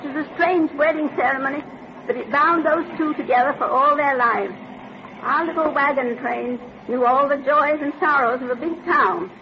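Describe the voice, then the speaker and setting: A woman's voice: high-pitched, normal speed, lightly acted. An actress playing a feisty, plainspoken old American pioneer woman with a homespun manner in an old-time radio drama. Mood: nostalgic. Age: older. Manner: homespun, spirited. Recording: muffled old radio, droning noise behind.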